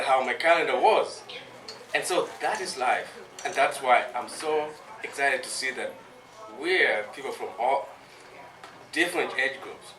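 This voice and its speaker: A man speaks slowly and deliberately, teaching an audience in short, separated phrases. His voice is bright and forceful. The live recording is echoey and a little distant. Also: medium pitch, a little hiss or hum. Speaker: guest speaker teaching a proverb. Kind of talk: live explanatory talk to an audience. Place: live community event with an audience.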